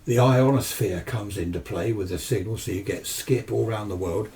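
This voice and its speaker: A man's voice: fairly low pitch, moderate pace, warm tone, a little hiss or hum. A man in his seventies with a British accent chatting casually and enthusiastically about his hobby, rambling and answering his own questions, recorded on a distant microphone. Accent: British English. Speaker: amateur radio hobbyist presenter. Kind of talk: casual rambling chat to camera.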